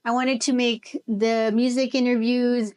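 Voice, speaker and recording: A woman's voice: a little high, little pitch movement, measured pace, darkish tone. A young woman from Chicago hosting a music podcast, upbeat and chatty as she interviews a guest. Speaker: podcast host. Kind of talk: casual interview chat.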